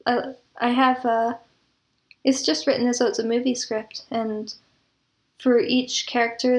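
Higher-pitched woman explaining in an interview. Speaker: interview guest, audio drama producer and composer. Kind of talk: conversational interview talk.

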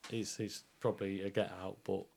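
A man's voice: low-pitched, little pitch movement, moderate pace, vocal fry, a little mellow. A man co-hosting a podcast, giving a casual review in a rambling, explanatory way and often repeating himself. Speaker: podcast co-host reviewing theatre.

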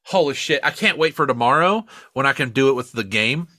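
A man with a bright, forceful voice co-hosts a casual comedy podcast. His pitch swings around freely as he exclaims and swears. The sound is dry and close to the mic. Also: lively intonation, fairly quick, no room sound. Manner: profane, exclamatory. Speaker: podcast co-host.

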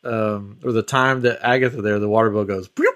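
A man's voice: fairly low pitch, steady tone, a little fast, fairly bright tone. A man hosting a podcast in casual banter, retelling things animatedly and acting out little quotes and sound effects. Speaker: podcast host.

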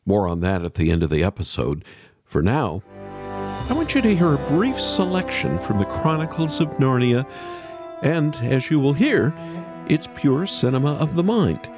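A man's voice: medium pitch, very animated, normal speed, over music. A mature man presenting and interviewing as a podcast host.

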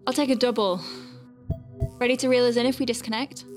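A woman's voice: a little high, steady tone, a little fast, soft background music, lightly acted. An actress playing an anxious, wry woman medic piloting a tiny craft in a sci-fi audio drama, hesitant under pressure. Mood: focused.

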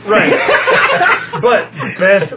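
A man co-hosting a podcast, rambling casually with many fillers and halting restarts. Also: high voice, huge pitch swings. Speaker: podcast co-host. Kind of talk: casual chat. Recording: lo-fi phone-like sound with faint hiss.

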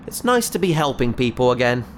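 Lively, gentle spaceship scientist. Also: female voice, a bass voice, brisk, a little hiss or hum. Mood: delighted, grateful. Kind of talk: sci-fi audio drama acting.

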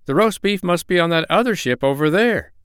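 A man's voice: sits high, animated, fairly quick, a bit bright, clean tone, no room sound, studio quality, pitched above the performer's own voice. A man voicing a wary, anxious, matter-of-fact duck in a children's storybook. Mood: sure, insistent.

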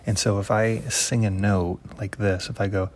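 A man with a low voice, hosting a music podcast and calmly explaining ideas in scripted narration from his office. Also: normal speed, a little hiss or hum. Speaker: podcast host. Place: home office.

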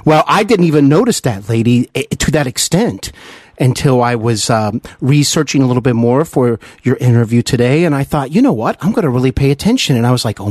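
A man's voice: mid-range voice, a little mellow, no room sound, clean studio sound. A man hosting an interview in an enthusiastic, chatty, admiring way. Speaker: interview host.

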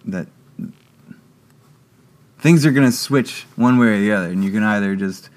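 A man with a very low, deep voice, speaking in an unhurried, halting way. He restarts his sentences often and leans on fillers as he thinks aloud in a relaxed interview chat. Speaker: guest. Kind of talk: casual conversation.

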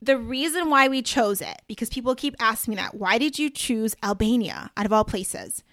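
Casting: upbeat woman podcaster, a bit high, rambling and chatty. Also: moderate pace, a bit bright, no echo, clean studio sound. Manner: chatty, upbeat, full of asides and slang. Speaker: podcast host. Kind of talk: casual solo podcast talk.

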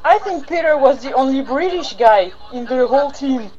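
A woman's voice: high-pitched, lively intonation, moderate pace, dark tone, highs cut off. A woman from France, chatting politely but gushing in a casual fan commentary.

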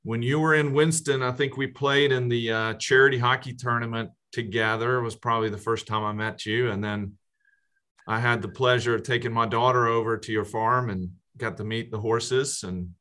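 A man hosting a casual podcast interview, speaking haltingly with frequent restarts and fillers. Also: medium pitch, fairly even intonation, sharp tone. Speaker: podcast host.

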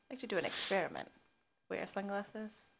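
Woman, young adult, vocal fry, relaxed, rambling, casual podcast co-host. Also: medium pitch, brisk. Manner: relaxed, filler-heavy storytelling. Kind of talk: casual chat.

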